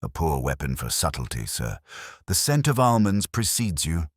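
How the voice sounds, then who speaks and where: Analytical male detective for audio story, read by a male narrator: very deep, crisp, commanding, clipped delivery. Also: big pitch swings, dry recording, studio quality, exaggerated voice. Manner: clipped, deliberate, incisive.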